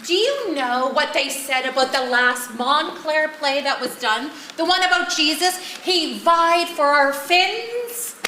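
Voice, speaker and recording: A woman's voice: high voice, lively intonation, takes it slowly, hardly any pauses, pushed and bright, full character voice. A woman playing a bossy, stubborn director in an amateur stage comedy, theatrical and insistent, devoted to an absurdist playwright. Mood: grandly admiring. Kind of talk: live amateur one-act stage comedy. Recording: echoey, noisy live theatre recording.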